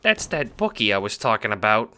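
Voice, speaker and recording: A man voicing a cheerful, airheaded little minion creature in an audio drama, excitable, slangy and boyish. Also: mid-range voice, brisk, voice pushed up. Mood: nervous. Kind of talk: fan audio drama character acting.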